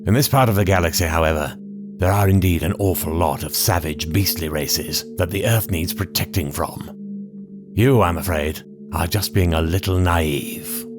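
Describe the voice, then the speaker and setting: A man's voice: low-pitched, moderate pace, music in the background, lightly acted. A man voicing an urbane, condescending male time traveller in an audiobook. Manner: suave and condescending.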